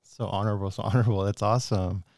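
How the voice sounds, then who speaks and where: A man hosting a podcast interview, asking questions enthusiastically with many halting fillers. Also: fairly low pitch, steady tone, darkish tone, no room sound, clean studio sound.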